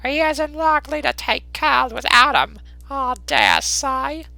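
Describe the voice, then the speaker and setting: A man voicing a brazen, callous working-class charwoman in a dramatised Victorian storybook, with a coolly unashamed, drawn-out way of scoffing. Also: very high-pitched, sing-song, creaky, sharp tone, no room sound, faint music behind, pitched above the performer's own voice, full character voice. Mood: cool, scornful.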